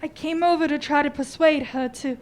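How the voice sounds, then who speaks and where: A woman acting a lovelorn, earnest gentleman who speaks haltingly in a radio drama. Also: high-pitched, fairly even intonation, lightly acted. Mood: halting, sorrowful. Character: lovelorn gentleman suitor, hesitant, earnest witness.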